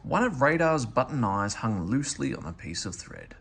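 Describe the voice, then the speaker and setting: Animated male storybook reader, gravelly edge. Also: medium pitch, normal speed, a little hiss or hum. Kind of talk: expressive children's read-aloud narration.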